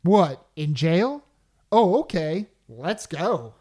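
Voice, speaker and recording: A man's voice: on the high side, very animated, takes it slowly, a little mellow, husky, exaggerated voice. An actor playing a harried, wisecracking repairman with slangy, scheming patter in an old-time radio comedy. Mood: resigned, relieved. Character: Caldwell, wisecracking repairman, a harried man scheming to save himself.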